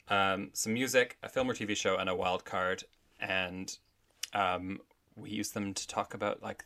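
A man's voice: fairly low pitch, bright, forceful tone. A man from Canada, a drag performer, chatting casually as a guest on a podcast, full of "like" and "I think". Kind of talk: casual interview chat.

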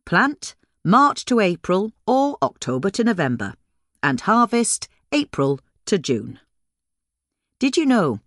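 A woman reading a scripted instructional guide in a measured, clearly enunciated way. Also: mid-range voice, sing-song, dry recording, clean studio sound. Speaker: reader of a gardening guide.

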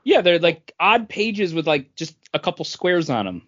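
A man co-hosting a podcast in casual chat, with an animated, teasing delivery. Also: a little high, moderate pace, muffled recording. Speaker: podcast co-host.

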